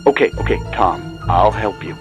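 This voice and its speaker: An actor playing a grave, secretive mobster in a dramatic video game cutscene. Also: male voice, fairly low pitch, huge pitch swings, moderate pace, over music. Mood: grave, urgent. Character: grave, secretive male mobster offering help.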